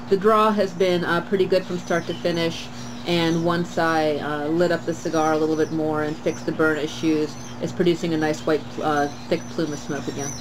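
A woman in her thirties reviewing a cigar to camera on a porch, in a calm, measured way with frequent pauses. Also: low voice, noisy background. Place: screened porch outdoors, trees behind.

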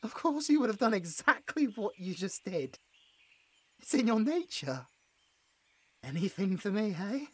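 A woman playing an anguished, guilt-ridden young British male adventurer in an audio roleplay, halting and emotional. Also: medium pitch, very animated, husky, no room sound. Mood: guilty, resigned. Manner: halting, emotional, breaking into bitter laughs.

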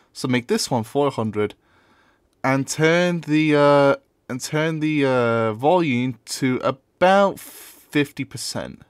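A man's voice: medium pitch, no room sound. Casual man giving a dry-sounding tutorial. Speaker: tutorial presenter. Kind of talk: casual screen-recorded software tutorial.

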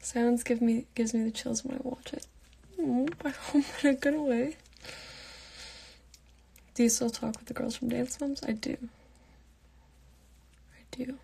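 A young woman in her late teens reading out fan comments and answering them in a soft, half-whispered voice, on a phone held at arm's length in her bedroom at night. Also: a little high, fairly even intonation, a little slow, gravelly, long pauses, slightly rough. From Pittsburgh, United States. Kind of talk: casual live Q&A chat.

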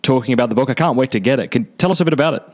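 A man's voice: medium pitch, racing, a little mellow, no echo. A man with an Australian accent, chatting informally and enthusiastically as a podcast host. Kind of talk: casual chatty interview talk.

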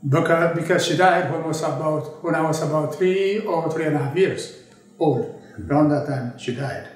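A man in his eighties from Ethiopia, slowly and thoughtfully recalling his childhood in an oral history interview. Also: a little high. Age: 80s. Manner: slow, measured, reflective, with pauses and restarts. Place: indoor studio with a draped backdrop. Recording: very echoey room, voice from a distance.

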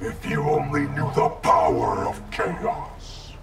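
A man voicing a cold, arrogant wraith ninja clan leader in a video game, gravelly, haughty and threatening. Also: fairly high pitch, big pitch swings, takes it slowly, full character voice. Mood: menacing disdain. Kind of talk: video game character dialogue. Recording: music behind the voice.

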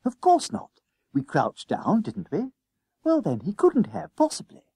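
A man voicing a bossy, excitable schoolboy ringleader in an audiobook, speaking in urgent, conspiratorial bursts with old-fashioned slang. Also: high voice, unhurried, breathy edge, dry recording, studio quality, voice pushed up, heavily acted. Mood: excited, confident.

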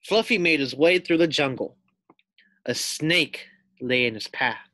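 Male storybook reader, teacherly and expressive, slow pace, lively intonation, dry close sound. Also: fairly high pitch, dry recording. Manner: expressive, explains and asks questions. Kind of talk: children's read-aloud with asides to pupils.

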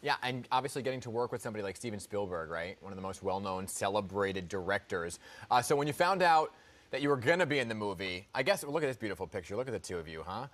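A man's voice: on the low side, lively intonation, fast, a bit bright, slight background noise. Upbeat morning news anchor, man. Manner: upbeat, chatty, enthusiastic. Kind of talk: TV news interview. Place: TV news studio.

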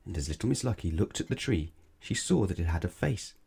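Deep-voiced man reading a bedtime story expressively. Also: animated, a little fast, gravelly, darkish tone, breathy edge. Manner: dramatic, with stretched words and emphatic sound words. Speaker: children's storybook reader. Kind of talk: expressive read-aloud bedtime narration.

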